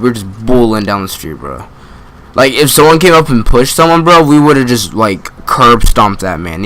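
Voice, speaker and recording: A man's voice: medium pitch, fairly bright tone. A young man with a mid-range voice tells a story in a loose, casual way. He rambles, leans on slang and swearing, and often breaks off his own sentences before picking the thread back up. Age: young adult. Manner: rambling, slangy, frequent swearing, self-interrupting. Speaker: gaming video creator. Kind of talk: casual storytelling over gameplay.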